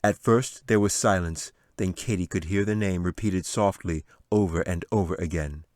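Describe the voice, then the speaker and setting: A man reads a fantasy story aloud in a low voice, recorded close and dry. His delivery is dramatic and clearly scripted, in the manner of a storybook narrator. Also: normal speed, darkish tone, no room sound. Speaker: author reading his audiobook.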